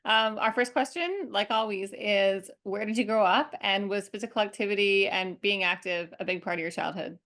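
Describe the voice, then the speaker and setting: A woman co-hosting a podcast, asking questions casually and reacting warmly to the guest. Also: medium pitch, moderate pace, hardly any pauses. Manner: friendly, reactive, laughs along. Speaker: podcast co-host.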